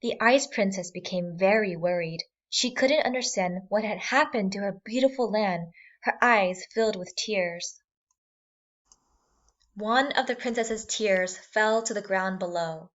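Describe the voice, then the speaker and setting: A woman's voice: mid-range voice, a little slow. A woman reading a scripted fairy tale for children as a storyteller. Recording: slightly dull sound, music bed.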